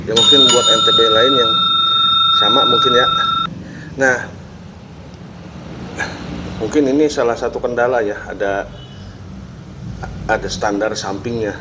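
A man's voice: mid-range voice, background noise. A man in his forties hosting a casual review vlog in an indoor workshop, chatting and explaining things step by step with friendly asides. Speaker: DIY channel host. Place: workshop with tools on the wall, indoors.

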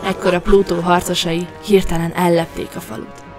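A woman narrator reading a scripted fairy tale for an animated story. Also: on the low side, over music.

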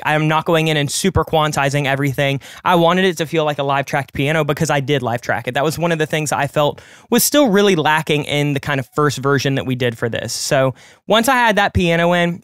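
A man of twenty-eight, a music producer hosting a tutorial, talking quickly and conversationally as he explains each production step from his home studio. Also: fairly high pitch, a bit bright, clean tone, dry recording. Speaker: music producer and channel host. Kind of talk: screen-recorded production tutorial. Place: home studio with colored lights.

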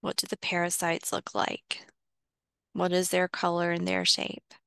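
A woman leading a guided visualization, giving measured instructions in short phrases with pauses between them. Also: fairly low pitch, monotone, gravelly, bright, forceful tone, clean tone, no room sound, studio quality. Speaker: guided meditation host.

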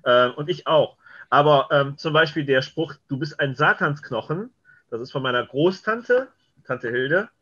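A man's voice: fairly high pitch, lively intonation, a little slow, bright, forceful tone, lo-fi sound. A middle-aged man telling casual anecdotes as an interview guest on a video call from home. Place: home room lined with shelves, on a video call.

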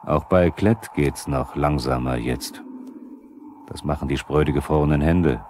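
An actor playing a probing narrator who addresses the characters directly, in a 1970s East German radio drama. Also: male voice, a bass voice, measured pace, darkish tone. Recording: noisy 1970s vinyl radio play.

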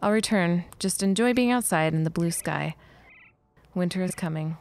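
An actress as a young schoolteacher in a period audio drama, with a lowish voice. She sounds sensible and matter-of-fact, with a dry wit. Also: normal speed, slight background noise, natural voice. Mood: dry, sociable.

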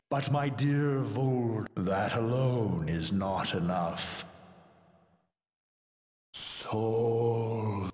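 A man's voice: on the low side, darkish tone, husky, long reverb tail, full character voice. An actor playing a proud, menacing male warrior in a fighting video game, delivering theatrical, haughty lines full of disdain for weaker foes. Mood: sinister, savouring. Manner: haughty, declamatory, dramatic.

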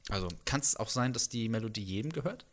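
A man's voice: mid-range voice, expressive, fast, fairly bright tone. A man in his thirties hosting a conversational music interview in a tentative, reflective way. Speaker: interviewer, host. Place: indoors, living room with instruments. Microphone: handheld microphone.

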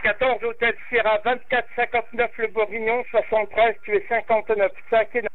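High French-accented male radio operator, thin phone-like band. Polite, hesitant callsign exchanges. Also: little pitch movement, fairly quick. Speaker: amateur radio operator running contacts. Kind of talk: brisk ham radio contact exchanges with callsigns. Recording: thin phone-like radio transmission, faint noise.

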